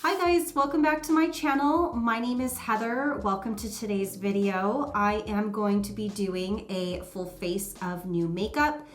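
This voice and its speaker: A woman's voice: medium pitch, unhurried, roomy sound. A woman vlogger chatting casually about makeup, a bit nasal from a head cold and rambling with many ums. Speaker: beauty vlogger. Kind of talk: casual makeup vlog chat.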